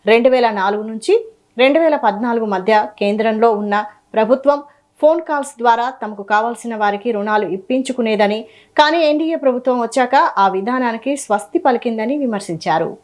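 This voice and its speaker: Fast-paced woman reading the news. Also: a little high. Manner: brisk, steady reporting delivery. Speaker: news reader. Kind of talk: scripted news narration.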